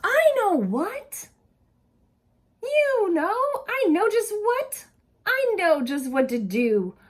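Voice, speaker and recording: A woman reading a picture book aloud expressively to young children, pausing to ask them simple questions. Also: very high-pitched, big pitch swings.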